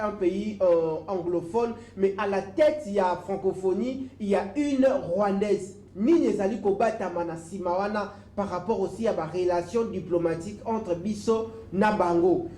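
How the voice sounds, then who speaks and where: A Congolese interviewer switches between French and Lingala as he puts his questions. His voice sits fairly high with a dark, mellow tone. The room is echoey. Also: unhurried, hardly any pauses. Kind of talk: political interview.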